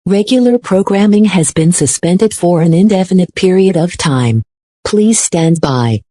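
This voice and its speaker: A woman with a mellow, fairly low voice serves as a station announcer. She reads a formal scripted broadcast notice, recorded with very little room sound. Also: expressive, measured pace, no echo.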